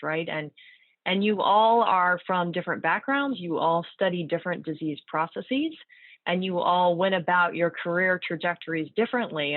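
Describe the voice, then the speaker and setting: A woman's voice: lowish voice, dry recording. A woman neurologist moderating a panel interview, friendly and encouraging, with some fillers. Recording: tinny phone-like line, dry.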